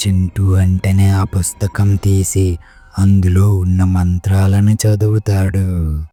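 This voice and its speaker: A man's voice: deep voice, level tone, takes it slowly, mellow. A man narrating a scripted horror story as its storyteller. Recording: music behind the voice.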